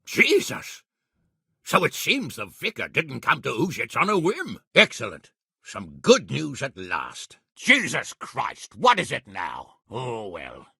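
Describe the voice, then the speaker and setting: A man acting as a gruff, hot-tempered, middle-aged medieval lord in a video game, with a raspy, gravelly voice and a blunt, blustery, commanding manner. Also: medium pitch, bright, forceful tone, dry recording, clean studio sound, exaggerated voice. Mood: resolute, then angry.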